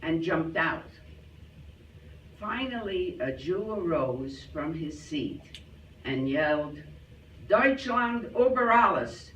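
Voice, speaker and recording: A man telling scripted jokes to a live audience with deliberate, theatrical delivery. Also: a little high, lively intonation, a bit bright, dull audio. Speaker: joke teller at a club show. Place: club hall, live performance. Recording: echoey hall, distant, faint noise.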